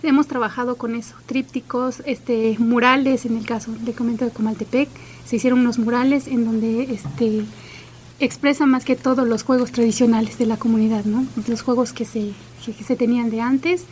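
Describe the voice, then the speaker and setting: Higher-voiced woman, Chinantec native. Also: moderate pace, a little hiss or hum, poor audio. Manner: steady, with frequent fillers. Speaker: guest, language worker. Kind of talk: radio conversation.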